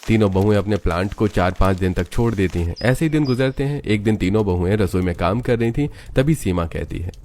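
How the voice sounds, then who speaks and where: A man with a low voice narrates a cartoon tale. The delivery is scripted storytelling, and there is noticeable noise in the recording. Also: brisk, hardly any pauses, darkish tone.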